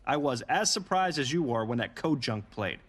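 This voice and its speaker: A brash, animated wrestling boss. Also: male voice, medium pitch, moderate pace, husky. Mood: stern, threatening. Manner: emphatic, condescending. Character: brash, impatient male wrestling boss throwing his authority around. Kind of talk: video game story-mode voice acting.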